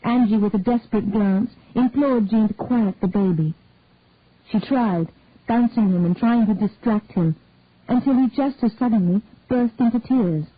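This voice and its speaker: A woman narrating a detective story in a measured, even storytelling manner. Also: on the high side, slightly rough, dry recording. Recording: tinny dry phone-like sound.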